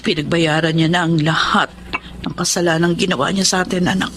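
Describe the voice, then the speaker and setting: A woman's voice: on the low side, steady tone, brisk, noisy background, natural voice. A woman playing an anguished mother in a Tagalog radio drama, tearful and pleading with her child. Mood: somber, consoling.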